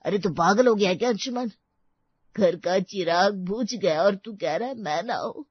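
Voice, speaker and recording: A man's voice: high voice, normal speed, slightly rough, no room sound, dull audio. A man playing a folksy, sentimental older village uncle in a cartoon story, dramatic and emotional in his delivery. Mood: shocked, grieving.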